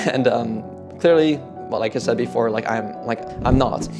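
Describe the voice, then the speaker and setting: A man's voice: mid-range voice, normal speed. Casual young man over guitar music. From Netherlands. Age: 20s. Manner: rambling, heavy use of "like" and "kinda". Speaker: fitness coach. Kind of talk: casual motivational talk.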